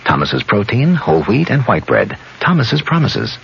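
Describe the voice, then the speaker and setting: A man's voice: medium pitch, very animated, moderate pace, vocal fry, fairly bright tone. A man reading a scripted, storytelling radio advertisement as its announcer. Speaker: commercial announcer. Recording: dull, noisy vintage radio broadcast.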